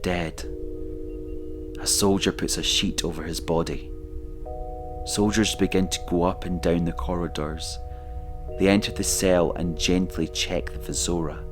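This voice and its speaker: Low-voiced man narrating over music. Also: steady tone, unhurried, pauses often. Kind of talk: scripted narration.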